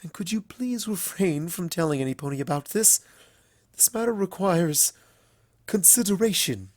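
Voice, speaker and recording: A man's voice: high-pitched, animated, normal speed, a little mellow, dry recording, pitched above the performer's own voice. A man voicing a proud, dry-witted younger pony princess in a storybook reading, formal and guarded. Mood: flustered.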